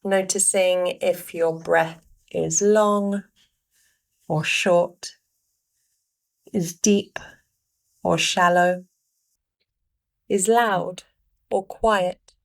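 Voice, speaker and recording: Slow-paced woman guiding a meditation. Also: lowish voice, fairly even intonation, gravelly. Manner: unhurried, pausing between short phrases. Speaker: meditation guide. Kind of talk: guided meditation, gentle spoken instructions.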